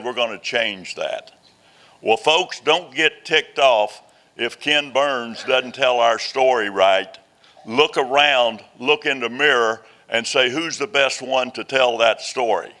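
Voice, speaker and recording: An older man rallies a room of fellow veterans in a slow, measured delivery. His voice is bright and forceful. He throws in gibes between his calls to action. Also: medium pitch. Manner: rousing, exhorting, with jokes. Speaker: introducer at a veterans' association meeting. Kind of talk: speech introducing a guest. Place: meeting hall before a live audience.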